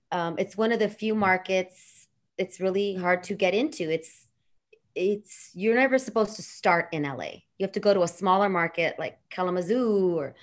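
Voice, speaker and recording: A woman in her thirties with a Los Angeles accent, chatting as a podcast guest, bubbly and quick to laugh, rambling through asides. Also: on the low side. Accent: Los Angeles regional. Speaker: radio and TV personality, guest. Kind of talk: casual podcast chat.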